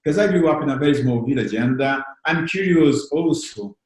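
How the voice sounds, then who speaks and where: A man's voice: medium pitch, expressive, normal speed. Brazilian-accented man, echoey room. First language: Portuguese. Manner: hesitant, many fillers, laughs softly. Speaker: podcast host. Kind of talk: casual chat.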